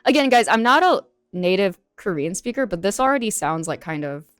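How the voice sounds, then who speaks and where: A woman's voice: mid-range voice, big pitch swings, a little fast, clear, resonant tone, no echo, soft background music. A young woman, a native English speaker, casually reading an article aloud and commenting on it with many fillers. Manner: chatty, many fillers, reads text aloud then comments. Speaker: streamer reacting to an article.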